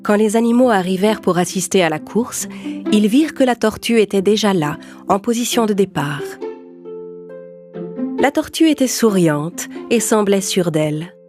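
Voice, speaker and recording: A woman's voice: medium pitch, lively intonation, moderate pace. A woman narrating a scripted fable for children as a storyteller. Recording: clear music bed behind narration.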